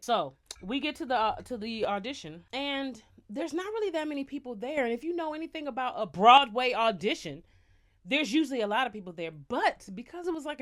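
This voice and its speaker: Young American woman vlogger, animated, chatty, talks straight to viewers, a touch high-pitched. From United States. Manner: lively, chatty, lots of slang and asides to viewers. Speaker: vlogger, singer. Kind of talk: casual storytelling to camera.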